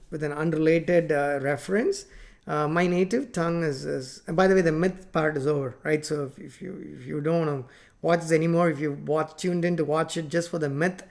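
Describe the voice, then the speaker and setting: A man in his forties whose first language is Tamil, talking unscripted to a webcam from a home office about software work, rambling with many fillers and restarts while gesturing. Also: fairly high pitch, creaky. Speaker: software company founder teaching coding. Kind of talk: unscripted talk to camera. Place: home office with plant and photos on wall.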